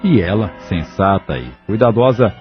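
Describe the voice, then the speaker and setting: A man's voice: on the low side, very animated, normal speed, faint music behind. A man reading scripted narration for a dramatised audio novel. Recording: dull lo-fi audio novel recording.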